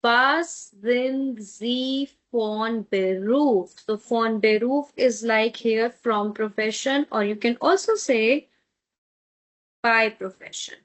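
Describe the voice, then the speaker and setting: A woman whose first language is Tamil teaches a beginner language class at an unhurried pace. Her voice sits a little high, and she repeats each word slowly before checking that her students are following along. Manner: patient, repeats words slowly, checks in with "okay?". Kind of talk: online language lesson.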